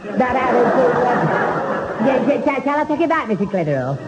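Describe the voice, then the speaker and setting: A man's voice: very high-pitched, sing-song, normal speed, a loud crowd. A man playing a dim, cheerful young suitor with a Northern English accent and a muddled stammer in old radio sitcom style. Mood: muddled, eager. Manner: stammering, muddled, eager to please. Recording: muffled, noisy old radio recording.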